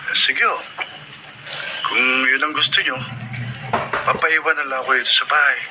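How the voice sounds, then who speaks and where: A man's voice: mid-range voice, steady tone, normal speed, lightly acted. A man playing a dutiful, considerate young adult in a radio drama, speaking with a Filipino accent. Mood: concerned, dutiful. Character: dutiful, considerate grown child of a farmer. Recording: very noisy playback, dish clatter.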